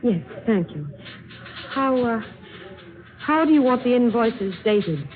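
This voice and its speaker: A woman's voice: on the high side, big pitch swings, slow. An actress playing a polite, hesitant young woman working as an office clerk, in old-time American radio drama style. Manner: deferential, hesitant. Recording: muffled, tinny old radio recording.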